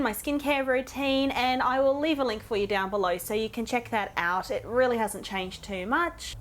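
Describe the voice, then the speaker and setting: Chatty, croaky woman vlogger. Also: a little high. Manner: chatty, ranting, addresses viewers directly. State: croaky from a cold. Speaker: beauty vlogger. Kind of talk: casual makeup tutorial talk.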